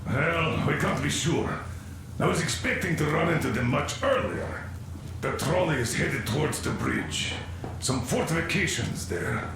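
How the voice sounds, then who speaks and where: An actor playing a stern older military colonel in a video game. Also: male voice, a little high, gravelly. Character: stern, commanding older military colonel leading a train crew. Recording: very echoey, noisy ambience behind.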